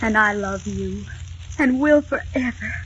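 A woman's voice: medium pitch, a little slow, very noisy, natural voice. A woman acting a tender, devoted young wife in 1940s radio drama style, earnest and soothing. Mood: romantic, resolute. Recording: dull vintage radio recording with music.

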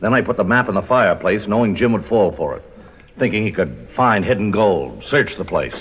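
Husky, low-voiced cornered killer. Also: male voice, lively intonation, brisk. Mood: scheming. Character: cornered scheming male killer, defiant and greedy. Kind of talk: 1940s radio crime drama acting. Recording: dull old radio recording.

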